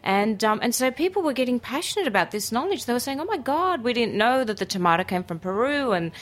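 A woman's voice: on the high side, big pitch swings, fast. Enthusiastic Australian woman, native Greek speaker. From Greece and Australia. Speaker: radio interview guest, cookery teacher and food writer. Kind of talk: casual interview chat.

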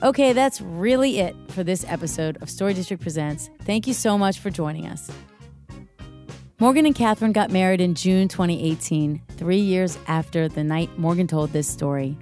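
A woman's voice: lowish voice, normal speed, mellow, soft background music. A woman hosting a podcast, talking in a conversational way to guests and listeners. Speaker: podcast host. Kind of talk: conversational interview and show sign-off.

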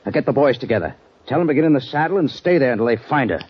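An actor playing a shifty, scheming frontier town boss in an old radio drama, sly and guarded. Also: male voice, mid-range voice, lively intonation, racing, lightly acted. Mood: bossy. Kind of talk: 1950s radio drama acting. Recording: muffled old radio broadcast with hiss.

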